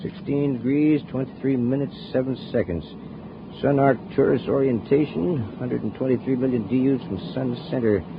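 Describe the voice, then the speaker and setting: A man's voice: medium pitch, normal speed, vocal fry, close to an everyday voice. A man playing a meek, earnest and deferential math prodigy in a 1950s radio adventure. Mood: thoughtful. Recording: dull, noisy 1950s radio recording.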